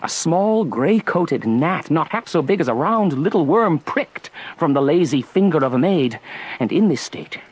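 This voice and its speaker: A witty, fanciful young nobleman in a Shakespearean radio play. His voice is husky and roams widely in pitch. He takes his time, relishing the verse with teasing, theatrical flourish. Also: animated, a little slow. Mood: fanciful storytelling. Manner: playful, declamatory, relishing each phrase.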